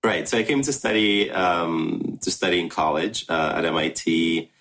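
Deep-voiced reflective man, halting speech. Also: fairly bright tone, poor audio. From Ghana. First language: Russian. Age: 30s. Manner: reflective, many ums and restarts. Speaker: filmmaker. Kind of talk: interview answers.

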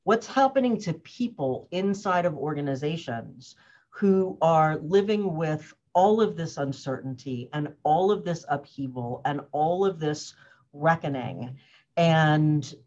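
Low-voiced American woman in her fifties, muffled. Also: lively intonation, measured pace, darkish tone. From United States. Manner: earnest and reflective, with frequent laughs and fillers. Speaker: guest, organizational wellness consultant. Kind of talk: conversational interview answers. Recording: muffled lo-fi audio.

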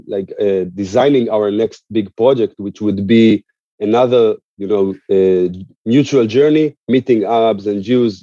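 Very dark-voiced man explaining earnestly. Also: fairly low pitch, no room sound, muffled recording. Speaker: interview guest, musician and activist.

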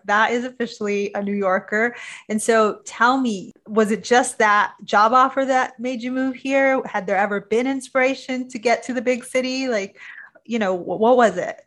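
A woman's voice: on the high side, a bit bright. A woman hosting a podcast, asking questions in an upbeat, encouraging way with frequent affirmations. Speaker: podcast host. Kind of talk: ad read and interview hosting.

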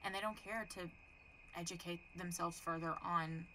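A woman's voice: fairly low pitch, fairly even intonation, normal speed, background noise. A young woman with a Texan accent co-hosting a podcast, chatting frankly, swearing casually and laughing often. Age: young adult. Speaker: podcast co-host. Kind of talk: casual chat.

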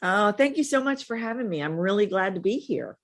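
A middle-aged woman, telling her story as an interview guest in an expressive, emphatic way with dramatic pauses. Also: medium pitch. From Texas, United States.

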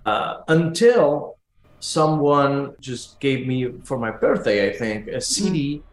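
Argentine-accented male musician, native Spanish speaker, halting conversational interview guest, mid-range voice, roomy echo. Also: mid-range voice, lively intonation, slight background noise. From Argentina, raised in Buenos Aires. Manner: halting, repeats words as they search for phrasing. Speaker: musician guest, pianist and teacher. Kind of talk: conversational interview answers, telling stories. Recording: echoey room sound.

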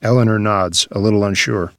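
A man's voice: lowish voice, a little fast, dry recording. A man narrating a screenplay's scene headings and action in scripted table-read style. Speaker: stage direction reader.

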